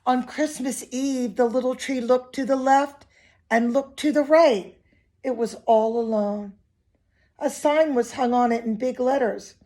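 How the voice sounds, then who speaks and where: A woman with a fairly high, slightly husky voice reads a children's picture book aloud. She is expressive and phrases things simply, as a storyteller speaking directly to young listeners does. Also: a little slow.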